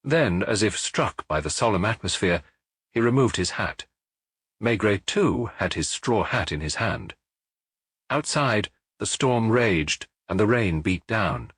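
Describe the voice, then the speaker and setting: A male audiobook narrator reads detective fiction with lively, varied intonation. His voice sits slightly low with a husky grain, and the recording is close and very dry. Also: unhurried, no room sound. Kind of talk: scripted literary narration of a detective novel.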